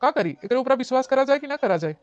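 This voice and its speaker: A woman's voice: high voice, lively intonation, rapid-fire, darkish tone, no echo. A woman voicing a blunt, exasperated but forgiving village husband in an Awadhi comedy cartoon, with an Awadhi accent and dull, lo-fi sound. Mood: doubtful.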